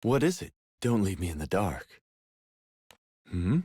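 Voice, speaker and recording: Low, languid, flirtatious villain. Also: male voice, big pitch swings, a little slow, husky, no room sound, heavily acted. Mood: smug, musing. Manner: teasing, suggestive, languid. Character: sly, flirtatious male villain relishing chaos. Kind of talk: theatrical video game dub acting. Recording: loud game music behind.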